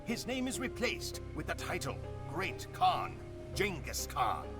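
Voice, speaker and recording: An actor playing a grim Mongol warrior who solemnly recounts his Khan's conquests as dramatic game cutscene narration, with deliberate phrasing. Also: high-pitched, wildly swooping pitch, over music, heavily acted. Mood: solemn, foreboding.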